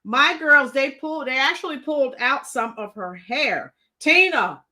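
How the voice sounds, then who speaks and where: A woman's voice: high-pitched, expressive, measured pace, sharp tone. A woman hosting a casual live reaction show, emphatic and exclamatory, talking straight to her viewers. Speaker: livestream host reacting to news and viewer comments.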